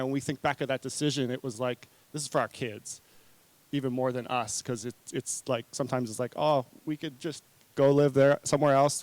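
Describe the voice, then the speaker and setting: A man in his forties from New Zealand and Hawaii, speaking informally as a conference presenter in an earnest, conversational way, often halting and correcting himself. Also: medium pitch, darkish tone, no room sound. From New Zealand-born, raised in Hawaii. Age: 40s. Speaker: conference speaker, marketing consultant.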